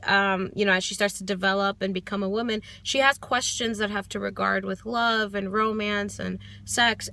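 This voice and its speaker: Bright-voiced young woman reviewing a book. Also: medium pitch. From United States. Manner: thoughtful, hesitant, many ums and restarts. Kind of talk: casual spoken book review. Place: parked car.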